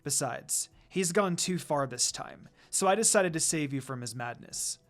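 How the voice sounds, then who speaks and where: A man voicing a cool, protective warrior woman who speaks in a steady, reassuring, matter-of-fact way. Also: a little high, moderate pace, voice pushed down. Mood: determined.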